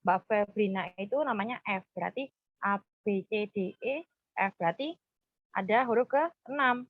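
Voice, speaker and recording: A woman, leading an informal group session in a halting, hesitant way. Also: mid-range voice, no echo. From Purworejo, Central Java, Indonesia. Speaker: group counseling facilitator. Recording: dull, muffled lo-fi sound.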